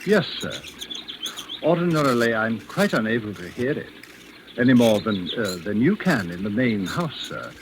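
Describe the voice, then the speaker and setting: An actor playing a deferential, slyly menacing manservant in a 1940s radio drama, speaking in a formal, measured, obsequious way. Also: male voice, mid-range voice, sing-song. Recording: noisy vintage 1940s radio recording.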